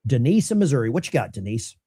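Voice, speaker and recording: A male call-in host with a lively, swinging voice. He tosses off quick jokes and quips between callers, and the sound is dry and close. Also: medium pitch, breathy edge, dry recording. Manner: joking, offhand, quick quips. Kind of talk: casual call-in talk.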